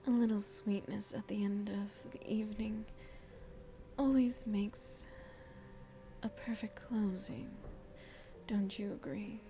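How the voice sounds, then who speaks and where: A woman voicing a seductive, motherly vampire hostess in an ASMR roleplay, breathy and soft, with a tender, teasing manner. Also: medium pitch, steady tone, slow, long pauses. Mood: sweet, inviting. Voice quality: breathy, whispery.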